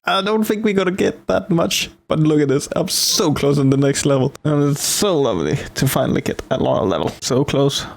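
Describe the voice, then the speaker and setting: A woman's voice: deep voice, animated, a little fast, gravelly, hardly any pauses. A woman giving casual reactions as she plays through a video game. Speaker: gaming streamer playing through a game. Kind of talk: casual reactions during gameplay.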